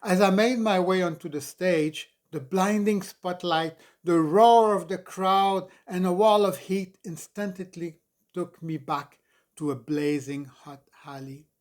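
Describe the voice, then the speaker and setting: Man in his 60s reading his own story, kinda high voice, slow and dramatic. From Montreal, Canada. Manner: expressive, deliberate, emphatic storytelling. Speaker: author reading own memoir. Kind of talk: dramatic audiobook narration.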